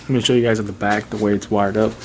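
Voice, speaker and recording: A man giving a casual, unscripted how-to in an informal, halting, repetitive way, like a hobbyist vlogger. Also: lowish voice, flat delivery, a little hiss or hum. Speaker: vlogger showing a DIY car install. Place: in and around a Jeep.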